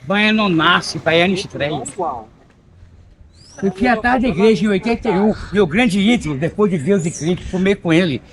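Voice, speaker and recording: A man's voice: high-pitched, animated, normal speed, a crowd in the background. An older man from Bahia, guiding a walking tour outdoors with theatrical, joking storytelling that sometimes stumbles over words. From Bahia, Brazil. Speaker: local tour guide.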